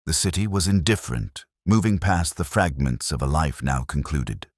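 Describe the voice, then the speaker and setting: A man playing a melancholy middle-aged police inspector, narrating his mystery in measured, solemn, literary prose. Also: sits low, husky, dry recording, clean studio sound. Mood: pensive, melancholy. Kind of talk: scripted first-person literary mystery narration.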